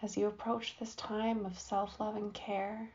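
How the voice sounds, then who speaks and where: Slow, soothing woman guiding meditation. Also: medium pitch, flat delivery. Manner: slow, soft and soothing with long pauses. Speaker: yoga nidra guide. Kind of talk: guided meditation. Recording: dull lo-fi recording.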